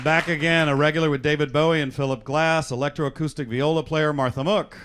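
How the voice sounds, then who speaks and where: A man's voice: a little high, steady tone, fairly quick, a bit bright. A man speaking as host and director, introducing a radio drama company live on stage. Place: in front of a live studio audience. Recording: live hall with audience noise and clicks. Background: audience crowd noise.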